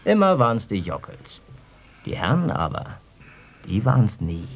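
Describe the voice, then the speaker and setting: Swinging, ranting bitter farmer. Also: male voice, lowish voice, wildly swooping pitch, measured pace, gravelly, slightly rough, heavily acted. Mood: sardonic. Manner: colloquial, ranting, ironic. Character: bitter, sardonic East German farmer, drinking and railing. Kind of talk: German radio play acting.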